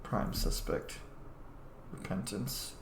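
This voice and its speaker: A man giving casual let's play commentary, rambling and muttering to himself as he goes. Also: slow. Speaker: gaming streamer. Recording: roomy echo, faint background noise.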